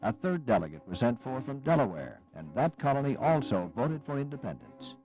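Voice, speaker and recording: The solemn narrator of a dramatized history. His voice is dark and mellow, with lively rises and falls in a formal, measured read. Faint music sits behind the slightly muffled recording. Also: medium pitch.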